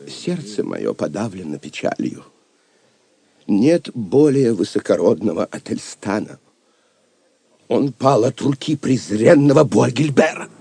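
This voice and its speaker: A man's voice: fairly low pitch, gravelly, dark tone, slightly rough, heavily acted. An actor playing a grateful, lordly Saxon nobleman in an audio drama, with solemn, declamatory, formal speech. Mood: sorrowful. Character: grieving, grateful, lordly Saxon nobleman, commanding yet tender. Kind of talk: Russian audio drama acting.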